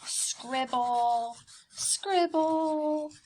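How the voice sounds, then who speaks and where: A woman's voice: sits high, very slow, a little mellow, rough recording. A woman preschool teacher leading a children's art lesson step by step, speaking in simple, child-directed language with encouraging questions and repeated phrases.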